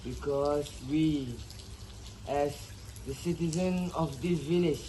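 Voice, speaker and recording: An earnest old man of the village, played by a man in an amateur drama, making a formal address. He speaks very slowly and haltingly in a fairly high voice, with faint noise behind. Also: warm tone, poor audio. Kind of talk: amateur play, formal announcement.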